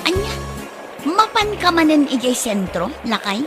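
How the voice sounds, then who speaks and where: A woman's voice: high-pitched, sing-song, measured pace, loud music behind the voice. A woman with an Ilocano accent playing a blunt, nagging, easily affronted woman in a comedy radio drama.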